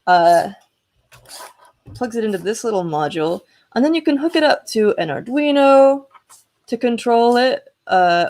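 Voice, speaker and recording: A woman's voice: mid-range voice, a little mellow. A woman talking casually and enthusiastically to viewers as the host of a tech live stream. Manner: enthusiastic, exclaiming.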